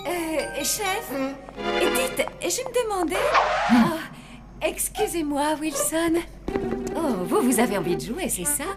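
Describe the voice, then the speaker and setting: A woman's voice: high-pitched, very animated, slow pace, over loud music, full character voice. A woman voicing a suspicious, doting zombie mother who runs a hotel. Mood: coaxing. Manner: fussy, questioning, doting. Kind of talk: French cartoon dubbing.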